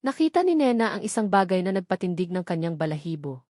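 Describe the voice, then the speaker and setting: A woman reading a scripted romance story as narrator, in a measured storytelling delivery. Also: fairly low pitch, very animated, warm tone, no room sound, clean studio sound.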